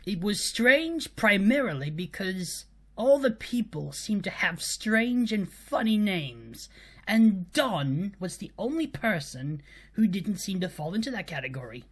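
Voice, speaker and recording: A woman narrating a humorous scripted story as a storyteller, with deliberate emphasis on the punchlines. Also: medium pitch, sing-song, husky.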